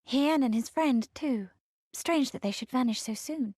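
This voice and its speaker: A gentle young woman from a fantasy game. Her voice is soft and breathy, a little high, and quietly concerned, recorded close and dry. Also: measured pace, no echo. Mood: gentle, wondering. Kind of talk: dramatic game cutscene acting.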